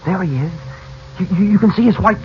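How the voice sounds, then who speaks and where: An actor playing a brash, curious teenage boy with slangy, excitable talk, in 1940s radio style. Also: sits high, a little fast, slight background noise, lightly acted. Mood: excited, challenging. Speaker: actor in a radio adventure serial. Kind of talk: 1940s radio drama acting. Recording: muffled vintage 1940s radio, music behind.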